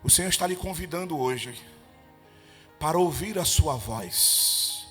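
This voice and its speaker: A male evangelist leading a Pentecostal prayer, chanting, speaking in tongues and rising to fervent shouts. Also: fairly high pitch, expressive, measured pace, slightly rough. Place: church. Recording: reverberant church hall with music.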